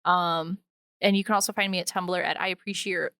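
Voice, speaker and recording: Female podcast co-host, smooth clear voice, dry close sound, informal and jokey delivery of plugs. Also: lowish voice, steady tone, brisk, bright, forceful tone, no echo. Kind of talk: casual podcast outro.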